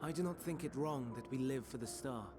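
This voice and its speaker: Fantasy game role for a male actor: troubled, courteous man of learning, mid-pitched, soft, formal, hesitant delivery, music underneath. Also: a little fast, natural voice. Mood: troubled, reflective. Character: gentle, melancholy, courteous male scholar, earnest and troubled. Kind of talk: video game voice acting, fantasy drama.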